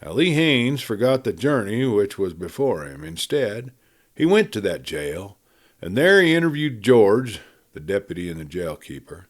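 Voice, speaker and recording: A man reading a western story aloud in a dramatic, folksy, expressive storytelling manner. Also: fairly low pitch, moderate pace. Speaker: audiobook reader.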